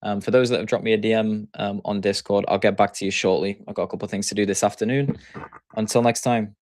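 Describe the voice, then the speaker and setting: A man's voice: lowish voice, fairly even intonation, fast talker, darkish tone. A man with a Yorkshire accent, hosting a live trading stream and thinking aloud as he explains charts. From Yorkshire, England. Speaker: trading coach and stream host.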